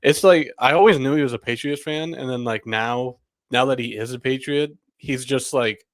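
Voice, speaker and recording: A man's voice: mid-range voice, moderate pace, dry recording. A young man hosting a casual sports podcast, a little under the weather and rambling with lots of "like" and laughs. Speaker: podcast host.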